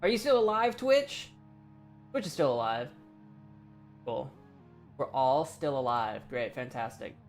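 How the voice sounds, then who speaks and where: A woman streaming a game, chatting casually, cracking jokes, laughing often and thinking out loud. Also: very deep voice, animated, measured pace, long pauses, faint music behind. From United States. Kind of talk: casual live-stream commentary.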